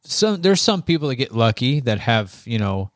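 Male co-host, medium pitch, dry recording, laid-out informally in halting, repetitive guitar chat. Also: big pitch swings, dry recording. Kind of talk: casual guitar talk show chat.

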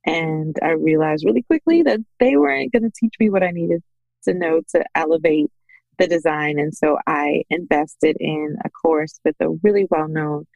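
A woman with a somewhat low voice and noticeable vocal fry chats as a podcast guest. She tells her own story in a conversational way, livening up when she quotes others. The recording is dry and close. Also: moderate pace, darkish tone, no echo, lo-fi sound. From Bahamas. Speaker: podcast guest, small business owner. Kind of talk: conversational personal storytelling in an interview.

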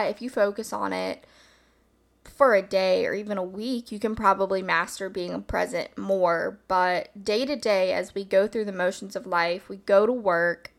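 Woman, podcast host, gravelly fry, slightly hoarse morning voice, informal, reflective, meandering solo chat. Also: medium pitch.

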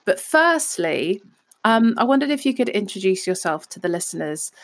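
A woman hosts a podcast and gently draws out her guest, affirming what she hears and filling pauses with ums. Her voice sits at a middling pitch and sounds clean. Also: big pitch swings. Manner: friendly, affirming, many ums. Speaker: podcast host. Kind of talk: interviewing a guest.

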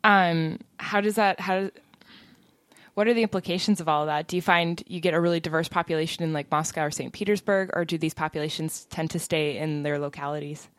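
A woman hosting a podcast who asks curious questions and laughs often. Her voice sits a little low, and the sound is dry and close. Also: fairly even intonation, a little fast, dry recording. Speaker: podcast host. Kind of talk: casual podcast interview.

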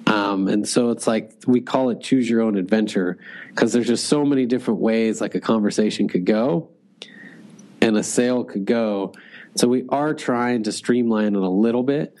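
A man's voice: on the low side, normal speed, a little mellow, poor audio. A man in a casual interview, speaking loosely, with lots of "like" and restarts. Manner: loose, filler-heavy, frequent "like". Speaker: sales executive guest.

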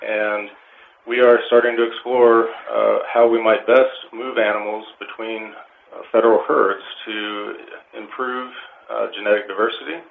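A man being interviewed as a wildlife manager, giving measured, technical explanations. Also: on the low side, flat delivery, vocal fry. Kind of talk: informative interview answers. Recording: phone-like line with faint noise.

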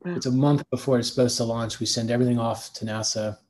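A man's voice: mid-range voice, steady tone, fast talker, a little mellow, a little hiss or hum. A man, co-founder of a design agency, telling a long anecdotal story in an interview, rambling with fillers and restarts. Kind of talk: conversational interview answers.

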